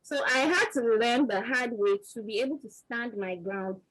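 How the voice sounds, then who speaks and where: Husky Nigerian woman, earnest and emphatic. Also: on the high side, normal speed, a bit bright, rough recording. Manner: earnest and emphatic, repeats words as she builds a point. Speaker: panelist, vaccine research scientist. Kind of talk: motivational panel answer.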